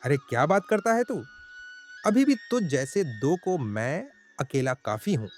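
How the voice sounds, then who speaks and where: A man's voice: on the high side, sing-song, a little slow, faint music behind. A man playing a proud, bossy middle-aged village farmer in a dramatized moral story, scolding and exclaiming in colloquial talk. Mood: feisty.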